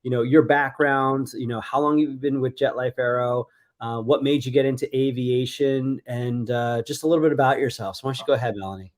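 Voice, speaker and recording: A man's voice: mid-range voice, steady tone, a little fast. A man hosting an interview show, speaking with enthusiasm and often repeating himself. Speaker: host.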